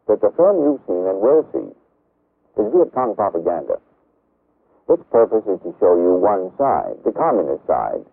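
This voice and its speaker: An American man narrates a vintage military documentary in a low voice with lively rises and falls. His delivery is formal, measured and authoritative. The sound is narrow and tinny, like an aged film soundtrack. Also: gravelly, husky. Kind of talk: scripted 1960s documentary narration.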